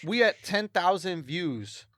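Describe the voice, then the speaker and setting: A man with a high, bright voice trades jokes in loose podcast banter. He talks at an unhurried pace and swears freely. The sound is dry and close. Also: lively intonation, no echo. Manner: playful, joking, cusses freely. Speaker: podcast co-host. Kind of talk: casual comedic banter.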